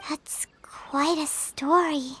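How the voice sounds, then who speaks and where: A woman voicing a tiny, childlike female fairy companion in a video game, squeaky, chatty and earnest. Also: steady tone, takes it slowly, sharp tone, full character voice, rough recording. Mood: puzzled. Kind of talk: video game story dialogue.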